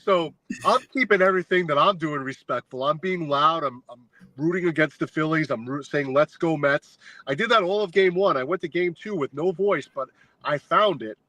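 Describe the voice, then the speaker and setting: A man as a podcast guest, telling a long fan story in a casual, animated, rambling way with lots of filler words. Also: fairly high pitch, normal speed, dry recording, poor audio. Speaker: guest, fan sign maker.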